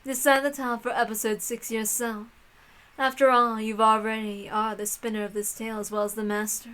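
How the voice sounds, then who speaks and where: A woman's voice: on the high side, level tone, a little fast, fairly bright tone, lightly acted. A woman playing a haughty, amused, cruel witch lady in a visual novel read-aloud, with a grand, commanding, theatrical delivery.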